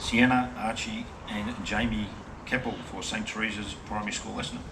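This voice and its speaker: An Australian man acting as master of ceremonies at a memorial service, making announcements in a formal, measured way. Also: on the low side, fairly even intonation. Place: outdoor dawn memorial service. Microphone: action camera far from speaker. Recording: distant, noisy outdoor action-camera recording.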